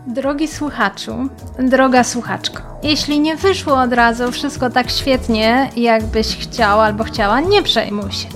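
A woman teaching German to learners, speaking clearly and patiently and pausing so the listener can repeat. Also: a little high, music in the background. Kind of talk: slow, clear language lesson with repetition drills.

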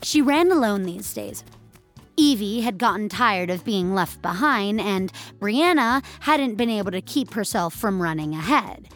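A woman narrating scripted fiction with measured, expressive storytelling. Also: mid-range voice, faint music behind.

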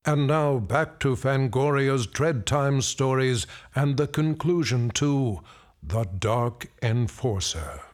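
Slow, measured male radio announcer. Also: mid-range voice, animated. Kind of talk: scripted radio show announcement.

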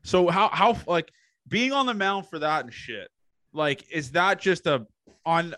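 A man's voice: a little high, animated, a little slow, a bit bright. A young man from Canada with a slight Canadian accent, hosting a casual podcast and firing off loose, swearing questions. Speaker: podcast host.

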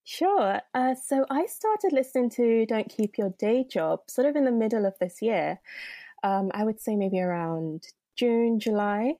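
A woman's voice: on the high side, animated, a little slow, mellow, no room sound, clean studio sound. A young woman from Nigeria, a podcast guest, telling her story in a chatty way with many fillers. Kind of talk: interview conversation.

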